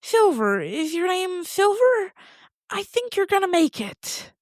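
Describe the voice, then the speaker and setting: A woman's voice: extremely high pitch, very animated, measured pace, no echo, studio quality, heavily acted. A woman playing an anxious, squeamish, kind-hearted girl in an audio drama, rambling and hesitant as she blurts out questions. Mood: nervous, fussing. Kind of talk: audio fiction acting, naturalistic.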